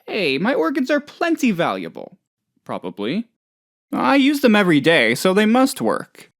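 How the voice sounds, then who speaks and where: A man's voice: sits high, wildly swooping pitch, moderate pace, dry recording, studio quality, heavily acted. A man playing a hapless, trusting, lonely oddball in a video game, talking in a rambling, hesitant, good-natured way. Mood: earnest, naive. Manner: rambling, hesitant, naive, chatty. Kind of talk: video game character dialogue.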